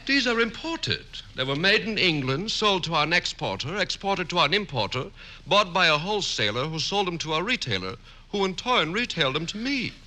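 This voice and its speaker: A cheeky, wisecracking tailor, rides a high, bouncing voice through his punchlines. The sing-song delivery belongs to 1940s radio comedy. A steady hiss runs under the old broadcast. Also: normal speed, exaggerated voice. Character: wisecracking male tailor with a comic accent. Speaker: tailor character.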